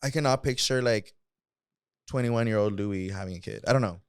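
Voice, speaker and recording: A man's voice: lowish voice, fairly quick, smooth, no room sound, clean studio sound. A man, chatting casually as a podcast co-host and telling stories in loose slang with casual swearing. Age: mid 20s. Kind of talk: casual sibling podcast chat.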